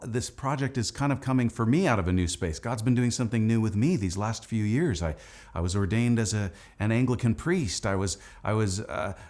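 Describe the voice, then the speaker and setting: A man's voice: medium pitch, a little mellow. A man speaking casually and enthusiastically in an informal storytelling style, like a musician narrating a video diary. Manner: enthusiastic, informal, peppered with "like" and "dude". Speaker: musician narrating the making of his record; Anglican priest.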